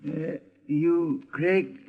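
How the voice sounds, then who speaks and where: A man's voice: on the high side, fairly even intonation. Hesitant older watchman, tinny radio. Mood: uneasy, halting. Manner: halting, hesitant, stammering. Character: older night watchman, uneasy, humble, hesitant client. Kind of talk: 1950s radio detective drama acting. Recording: tinny, band-limited old radio recording.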